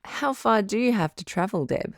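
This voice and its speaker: A woman playing a calm, firm relationship advice doctor hosting a radio call-in drama, measured and probing. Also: mid-range voice, no echo, clean studio sound, close to an everyday voice.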